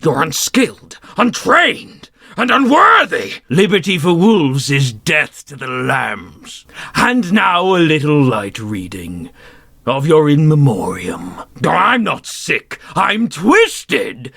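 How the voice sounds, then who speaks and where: An actor voicing a menacing, mocking big bad wolf villain in a video game, with a gravelly, husky, theatrical delivery. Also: male voice, a little high, big pitch swings, slow pace, bright, forceful tone, no room sound, studio quality, exaggerated voice. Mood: sinister, gloating. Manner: taunting, theatrical.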